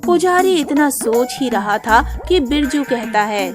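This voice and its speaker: Lady storyteller, highish voice, scripted narration with music clearly behind her. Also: animated, unhurried.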